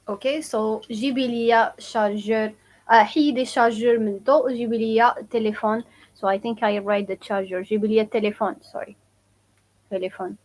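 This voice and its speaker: A young woman from Morocco with a Moroccan accent, teaching her dialect on a live stream and slowly repeating phrases for learners. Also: on the high side, poor audio. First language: Moroccan Arabic. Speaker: language teacher. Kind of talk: live-stream language lesson.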